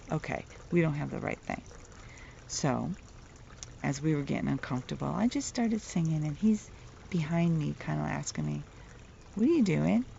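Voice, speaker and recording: A middle-aged woman with a soft voice, telling a playful, chatty vlog story outdoors and laughing at her own jokes. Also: low-pitched, vocal fry, slight background noise. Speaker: vlogger. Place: outdoors, near the water. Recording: dull lo-fi outdoor recording.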